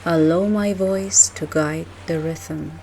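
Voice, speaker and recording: Very slow, mellow woman guiding meditation. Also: low voice, fairly even intonation, a little hiss or hum, rough recording. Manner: soft, gentle, measured delivery with long pauses. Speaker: meditation guide. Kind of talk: guided meditation narration.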